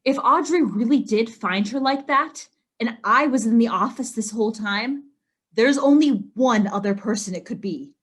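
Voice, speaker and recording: High, husky, earnest female amateur detective, acted by a woman. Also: normal speed, fairly bright tone. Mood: stern, accusing. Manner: stern, confrontational. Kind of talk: audio drama acting.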